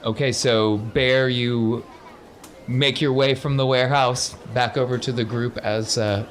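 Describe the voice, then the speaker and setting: A man's voice: medium pitch, steady tone, unhurried. A man running a tabletop role-playing game as game master, encouraging and affirming his players. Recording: noticeable background noise.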